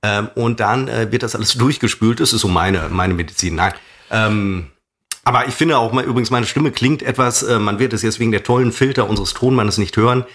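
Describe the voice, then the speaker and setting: Husky grumbling man with a cold. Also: on the low side, a little fast, a bit bright. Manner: grumbly, defensive, rambling with many fillers. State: slightly nasal from a cold. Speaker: podcast co-host. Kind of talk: casual podcast banter.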